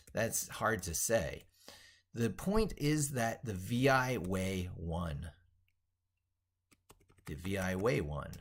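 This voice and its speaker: A man delivering an opinionated tech monologue in an emphatic, declarative way, reworking and repeating his phrases as he drafts them aloud. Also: mid-range voice. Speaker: tech educator presenter.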